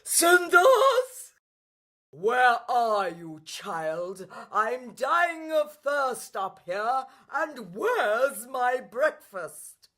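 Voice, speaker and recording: Woman playing a loud, imperious wicked stepmother. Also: a little high, very animated, drawn-out words, pitched above the performer's own voice, exaggerated voice. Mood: imperious, irritated. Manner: bossy, theatrical. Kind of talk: children's radio play acting.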